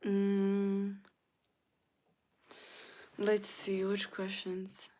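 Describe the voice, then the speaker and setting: A woman with as her hosting a casual livestream, answering viewers' comments and sometimes dropping to a soft whisper. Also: mid-range voice, monotone. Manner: slow, chatty, often drops to a soft whisper. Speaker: livestream host.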